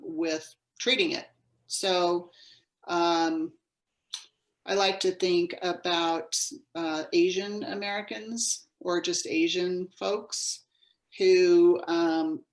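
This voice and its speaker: Casting: female panelist, low-leaning voice, very slow and hesitant unscripted delivery, roomy echo. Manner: hesitant, halting, searching for words. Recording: roomy, echoey sound.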